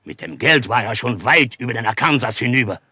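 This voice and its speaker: An actor playing a proud, solemn chief held prisoner in a western radio drama, speaking formally and with measured gravity. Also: male voice, mid-range voice, lively intonation, pushed and bright, slightly rough, no echo, clean studio sound, heavily acted. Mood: grave, bitter. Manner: formal, measured, speaks of himself in third person.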